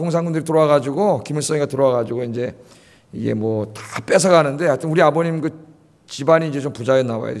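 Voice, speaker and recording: A man in his fifties from Seoul, preaching a sermon to a church congregation in a chatty way, often asking them questions. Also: on the high side. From Seoul, South Korea. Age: 50s. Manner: conversational, asks the congregation questions. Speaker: pastor. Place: church sanctuary. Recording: long hall reverb.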